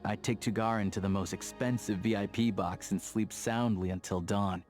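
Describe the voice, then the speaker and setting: A man plays a hardy young man of the snowfields in a video game, his voice on the low side. He is easygoing, sincere and plain-spoken, with firm principles. Music accompanies him. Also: fairly even intonation, normal speed, darkish tone, natural voice. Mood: reflective, sincere. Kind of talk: video game character voice acting.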